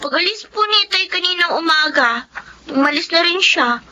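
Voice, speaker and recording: An actress with a Filipino accent playing a dutiful, timid young daughter in a radio drama. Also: female voice, very high-pitched, lively intonation, normal speed, sharp tone, exaggerated voice. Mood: worried. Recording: dull audio with faint noise.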